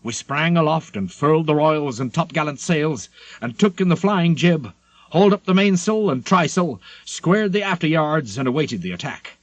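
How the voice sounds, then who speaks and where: A man narrating a literary memoir as an audiobook, in a measured, expressive storytelling manner. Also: on the high side, no echo.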